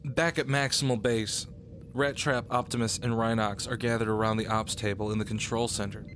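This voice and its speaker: A man's voice: lowish voice, flat delivery, moderate pace, fairly bright tone, faint music behind. A man narrating a scripted action story in the present tense for an audio drama.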